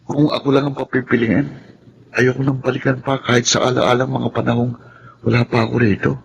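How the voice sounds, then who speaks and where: Creaky-voiced, humble orphan teenage boy played by a man. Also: mid-range voice, little pitch movement, fairly quick, slight background noise, close to an everyday voice, poor audio. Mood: sorrowful, fearful. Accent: Filipino. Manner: humble, earnest, deferential. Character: orphaned, abused teenage boy, polite, timid and grateful. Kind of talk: Filipino radio drama acting.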